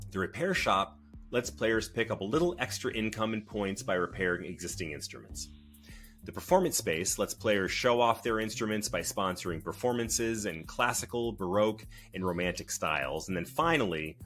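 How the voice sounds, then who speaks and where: A man's voice: deep voice, sharp tone. A man co-hosting a board game podcast, reading a prepared explanation in an articulate, descriptive presenter's manner. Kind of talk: scripted explanatory narration.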